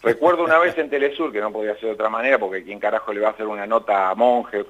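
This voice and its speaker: A middle-aged man with an Argentine accent and a fairly low voice, speaking as a radio guest. He muses and rambles, breaking into frequent laughs, over a slightly muffled broadcast sound. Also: racing. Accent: Argentine Spanish. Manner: reflective, rambling, laughs often. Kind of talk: casual radio interview.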